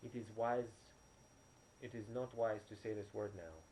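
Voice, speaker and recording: A man's voice: fairly low pitch, unhurried, a little mellow, natural voice. A man reading a story, voicing a practical, no-nonsense village midwife of sixty, firm and plain-spoken. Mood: firm warning. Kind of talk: audiobook character dialogue. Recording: noisy, poor-quality home recording.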